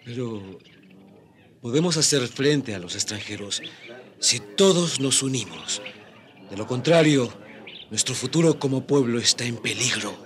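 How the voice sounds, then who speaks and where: An actor in a radio drama playing a resolute Taíno leader, speaking gravely and urgently. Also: male voice, medium pitch, lively intonation, measured pace, long pauses, a bit bright. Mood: grave warning. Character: resolute Taíno leader warning of danger, urging unity.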